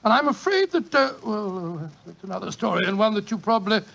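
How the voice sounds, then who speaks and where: A creaky, high-pitched old English gentleman. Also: lively intonation, fast, heavily acted. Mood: genial, nostalgic. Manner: chatty, avuncular, old-fashioned. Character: Doctor Watson, genial older Englishman reminiscing by his fire. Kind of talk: 1940s radio drama storytelling.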